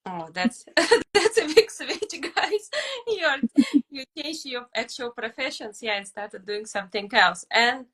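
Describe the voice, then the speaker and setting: A woman hosts an interview and puts polite, structured questions to her guests. Her voice is a little high and has a bright, forward tone. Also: expressive, a little slow. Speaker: interview host. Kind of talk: hosted interview.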